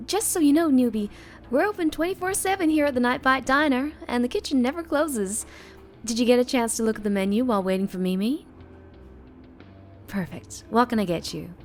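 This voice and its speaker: A woman's voice: fairly high pitch, brisk, soft background music, pitched above the performer's own voice, lightly acted. A woman voicing a sweet, chirpy small-town waitress with a Southern US accent and friendly service patter in an ASMR roleplay drama. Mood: chirpy, welcoming.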